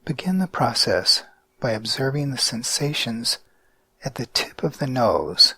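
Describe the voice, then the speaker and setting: A man guiding a mindfulness meditation in a calm, measured way with deliberate pauses. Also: medium pitch, slightly rough, no echo. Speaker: meditation guide.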